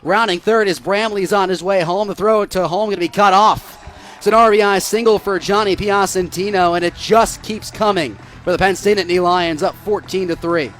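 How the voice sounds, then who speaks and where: Higher-pitched American man calling baseball. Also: fairly even intonation, moderate pace, a bit bright. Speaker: play-by-play broadcaster. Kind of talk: live baseball radio play-by-play.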